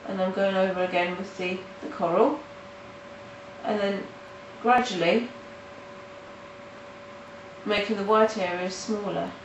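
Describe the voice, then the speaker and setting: A woman with a nasal voice from hay fever, talking halting and casual as she walks through a coloring tutorial at home. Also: mid-range voice, fairly even intonation. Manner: halting, with restarts and fillers. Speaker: art tutorial host. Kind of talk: casual step-by-step tutorial narration. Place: home in the countryside. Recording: roomy, mic at a distance, faint noise.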